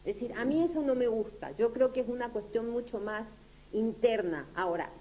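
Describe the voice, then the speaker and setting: A woman's voice: dark tone, poor audio. A woman lecturing informally, often repeating herself and checking that her listeners follow. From Latin America. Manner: halting, repetitive, checks that listeners understand. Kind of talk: informal lecture with audience questions. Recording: clear background noise, low hum.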